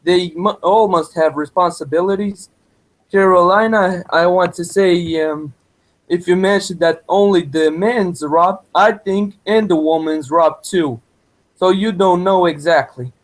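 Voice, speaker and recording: A man with a notably high voice adds remarks to a casual discussion. He speaks non-native English in simple, halting phrases. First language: not English. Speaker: discussion participant.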